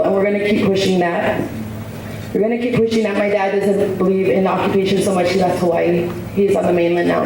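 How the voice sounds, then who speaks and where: A woman's voice: mid-range voice, vocal fry. A woman giving a rambling, earnest public comment at a hearing in a hotel ballroom, with many asides. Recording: echoey hall picked up from far off, with background noise.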